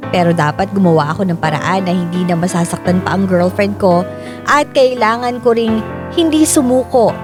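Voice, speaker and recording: A woman with a Filipino accent narrating a dramatized first-person story. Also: medium pitch. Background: music.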